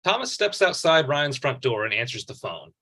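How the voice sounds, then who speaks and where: A man's voice: mid-range voice, big pitch swings, moderate pace, bright, forceful tone. A man reading out screenplay stage directions as scripted narration at a table read.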